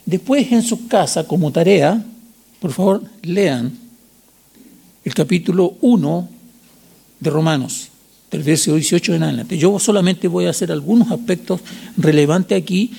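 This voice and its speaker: A man in his sixties with a Chilean accent preaching a sermon, teaching didactically with emphatic repetition and short check questions, in a gravelly, husky voice. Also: sits high, a little slow, warm tone. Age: 60s. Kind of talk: sermon with Bible reading and commentary.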